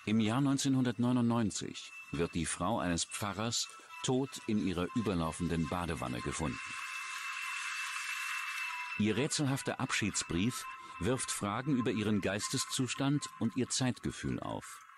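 Deep-voiced man narrating true crime over music. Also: sing-song, measured pace.

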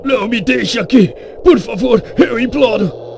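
Creaky, wounded, frightened pilot. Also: male voice, extremely high pitch, a little slow, full character voice. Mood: panicked pleading. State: in pain, weak, distressed. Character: badly injured, frightened male plane pilot, pleading. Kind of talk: dubbed video game acting. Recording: very noisy game audio with ambience.

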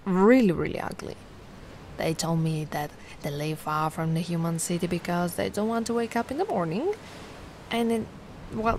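A woman voicing a giant, sweet and curious young mermaid in an ASMR roleplay, gushing and doting in a gentle, cooing way. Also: on the high side, rough recording. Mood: playful, sweet. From Italy. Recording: noticeable ambient background noise.

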